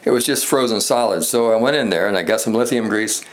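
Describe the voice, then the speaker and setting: A man giving a casual, unscripted hobbyist show-and-tell, halting and rambling as he thinks aloud. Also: fairly low pitch. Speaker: hobbyist presenter demonstrating a vintage radio repair. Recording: echoey room with background noise.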